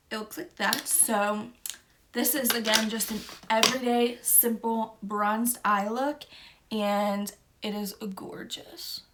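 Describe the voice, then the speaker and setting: A young woman talks through a makeup look at an unhurried pace, her voice stuffy and nasal from a cold. She speaks in a mid-range voice, easy and conversational, with the odd "um" as she goes. A faint background hiss sits under the voice. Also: medium pitch. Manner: easygoing step-by-step talk with "um" and "like". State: stuffy nose, sniffling from a cold. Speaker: beauty vlogger. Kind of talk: makeup tutorial narration.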